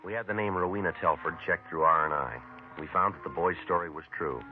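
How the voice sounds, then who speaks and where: A terse police detective voiced by a man lays out the facts in a very low, clipped, matter-of-fact voice and moves briskly through the case. It comes through thin and phone-like, as in an old radio crime broadcast. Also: faint music behind, lightly acted. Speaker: detective and narrator. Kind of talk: 1950s radio crime drama. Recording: tinny vintage radio broadcast.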